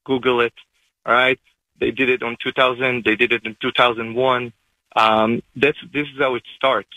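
A man, calling in to a radio show and speaking fast and emotionally, with many halting restarts. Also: medium pitch, little pitch movement, bright, forceful tone, dry recording, rough recording. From Israel. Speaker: caller. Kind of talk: call-in testimony.

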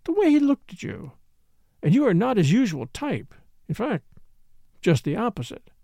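A man voicing a languid, blunt young society woman who speaks in a slow drawl, in an audiobook. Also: high voice, very animated, gravelly, mellow, dry recording, pitched above the performer's own voice, full character voice. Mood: wry, teasing.

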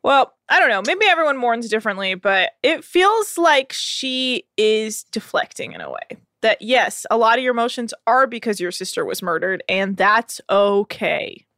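Casting: woman, higher voice, bright and forceful, sarcastic casual podcast recap, dry close sound. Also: very animated, moderate pace, hardly any pauses, dry recording. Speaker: podcast co-host recapping a reality show. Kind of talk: casual chat.

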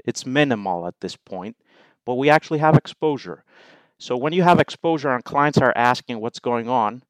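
A man's voice: medium pitch, clean tone, no room sound. A man from Greece, a native Greek speaker, explaining things methodically as an interview guest. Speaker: podcast guest, investment professional.

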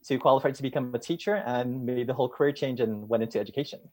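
A man who grew up in Hawaii, a teacher and guest, answering interview questions in a measured, friendly way. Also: medium pitch, fairly even intonation, a little mellow.

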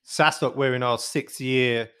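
Male podcast host, casual chat, lots of you-knows, mid pitch. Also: fairly even intonation, measured pace, bright, forceful tone, smooth. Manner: rambling, many fillers and repeats.

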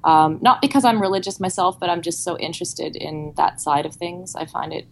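A Canadian woman in her thirties answers a podcast interview in a friendly, talkative way. Her voice sits a little low. A faint hiss of noise runs behind her. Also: fairly quick, gravelly. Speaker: guest athlete.

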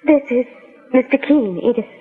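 A woman's voice: sits high, normal speed, slightly rough, phone-quality sound, faint music behind. A woman playing an anxious, sweet young daughter in grief, earnest and pleading, in 1940s radio style. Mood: gentle, earnest. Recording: band-limited old 1940s radio recording.

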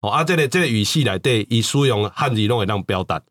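A man's voice: fairly low pitch, lively intonation, fast talker, a bit bright. A man with a Taiwanese accent explaining things casually as a talk-show host, often repeating words for emphasis. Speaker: podcast host.

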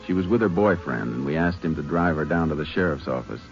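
A deep, steady Texas Ranger. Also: male voice, creaky, full character voice. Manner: calm, measured, matter-of-fact. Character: steady Texas Ranger, calm lawman narrator. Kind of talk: 1950s radio drama narration and acting. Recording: dull lo-fi old radio recording with music.

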